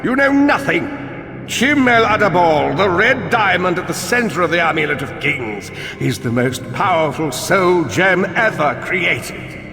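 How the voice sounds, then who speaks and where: An actor playing a gloating, power-hungry necromancer in a fantasy game, pompous, condescending and theatrical. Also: male voice, high-pitched, lively intonation, measured pace, bright, forceful tone, full character voice. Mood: grandiose gloating. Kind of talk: fantasy video game acting. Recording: long cavernous reverb, faint music.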